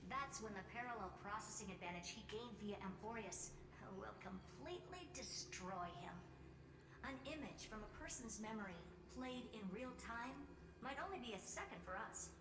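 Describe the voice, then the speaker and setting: An actress playing a sharp, confident female genius scientist who explains strategy incisively in a video game. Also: on the high side, animated, moderate pace, background noise. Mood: confident, scheming. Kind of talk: video game voice acting, dramatic dialogue. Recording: echoey reverb under loud music.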